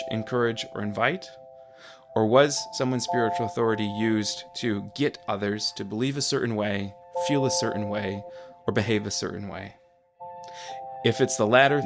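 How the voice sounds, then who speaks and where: A man speaking as a counselor, explaining in a measured, careful way. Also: fairly low pitch, vocal fry. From Michigan. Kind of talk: interview commentary.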